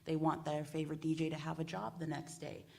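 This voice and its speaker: A woman's voice: low voice, little pitch movement, a little fast, vocal fry, darkish tone. A woman speaking earnestly and casually as a panelist, repeating phrases for emphasis. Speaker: radio panelist. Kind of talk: casual panel discussion talk.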